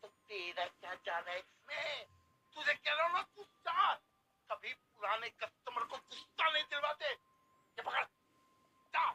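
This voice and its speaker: An actor playing a cranky, miserly middle-aged shop owner who bosses and scolds in an audio story, on a noisy recording. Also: male voice, very high-pitched, lively intonation, measured pace, pauses often, bright, forceful tone, heavily acted. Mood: impatient, bossy. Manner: scolding, bossy. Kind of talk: audio story character acting.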